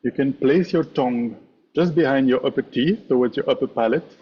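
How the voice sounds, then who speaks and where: A man leading a guided meditation as a teacher, speaking in measured, instructive short phrases with pauses. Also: medium pitch, muffled recording, slight background noise. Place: online meditation session over video call.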